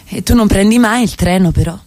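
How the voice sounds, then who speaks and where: A woman acting in a radio play as an earnest, wounded middle-class wife, questioning in a measured way. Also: mid-range voice, darkish tone, natural voice. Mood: hurt, skeptical.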